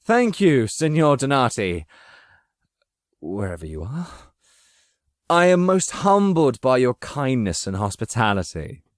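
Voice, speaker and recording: A man voicing an anxious, deferential former captive in an audio drama, effusive and halting, with formal courtesy. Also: mid-range voice, very animated, no echo, studio quality, voice pushed up. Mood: obsequious, nervous. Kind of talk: dramatic audiobook character acting.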